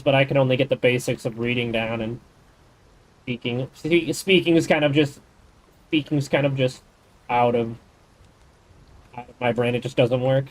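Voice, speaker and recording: A man's voice: medium pitch, rough recording. Man rambling through game mechanics. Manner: explains game mechanics in detail; rambling, thinks aloud. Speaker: game streamer. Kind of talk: casual gaming chat.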